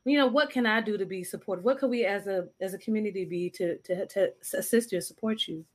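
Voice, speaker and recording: A woman's voice: mid-range voice, fast. Bubbly woman co-host. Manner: bubbly, enthusiastic, gushing. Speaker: show co-host. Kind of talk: casual video chat.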